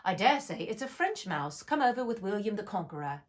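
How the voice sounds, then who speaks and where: A woman's voice: medium pitch, sing-song, a little fast, natural voice. A woman voicing a polite, curious young girl who chatters earnestly. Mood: eager.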